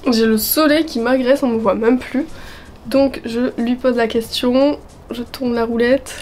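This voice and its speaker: A young woman in her twenties talks casually to her viewers from home and her terrace. Her voice is a touch high and sounds worn from a lingering chest cold. She keeps things upbeat and chatty, with plenty of fillers. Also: steady tone, a little slow, darkish tone, a little hiss or hum. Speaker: vlogger. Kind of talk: casual vlog chat.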